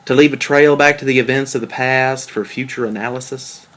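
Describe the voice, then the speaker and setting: A man's voice: mid-range voice, fairly even intonation, fairly quick, fairly bright tone, close to an everyday voice. A man playing a jealous, wry and insecure boyfriend in a table read of a stage play, conversational and questioning. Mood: probing.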